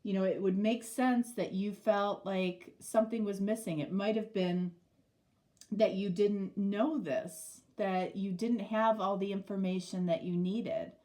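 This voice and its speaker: A woman's voice: mid-range voice, steady tone, a little slow, dark tone. A middle-aged woman with a stuffy, nasal voice from a cold, giving a casual tarot reading and rambling through many fillers. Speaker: tarot reader.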